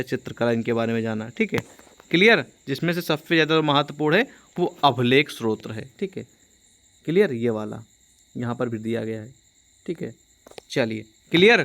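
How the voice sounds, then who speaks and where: A man's voice: mid-range voice, a bit bright. A man teaching an informal exam-prep lesson, explaining by repeating and rephrasing, with frequent check-in tags. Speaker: online history teacher.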